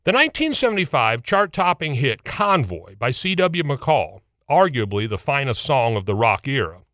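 A man's voice: mid-range voice, big pitch swings, moderate pace, a bit bright, no echo, clean studio sound. An American man hosting a history program, delivering a scripted essay in a folksy, wry, emphatic way. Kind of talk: scripted radio essay narration.

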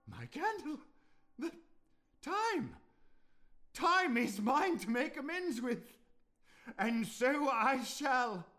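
An actor playing a changed old miser in a radio play, pleading and then breathless with joy. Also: male voice, very high-pitched, bright, forceful tone, exaggerated voice.

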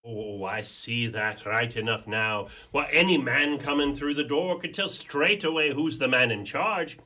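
A man playing a glib, flattering small-town schemer in a radio play, with an Irish accent. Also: mid-range voice, measured pace.

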